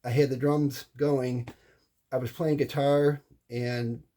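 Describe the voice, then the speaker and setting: A middle-aged or older American man giving an informal, unscripted explanation as a hobbyist presenter, halting and often repeating words or restarting phrases. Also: mid-range voice, little pitch movement, warm tone.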